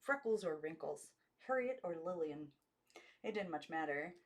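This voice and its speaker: A woman reads a story aloud in the manner of a home audiobook narrator. Her voice sits a little low for a woman as she delivers the scripted narration. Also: expressive, normal speed. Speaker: amateur audiobook reader.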